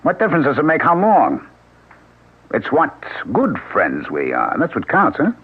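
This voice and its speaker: An actor playing Max, a paranoid, suspicious husband, bitter and sarcastic, in a 1970s radio drama. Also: male voice, on the high side, huge pitch swings, normal speed, breathy edge, heavily acted. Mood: cold. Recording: tinny old radio broadcast with music.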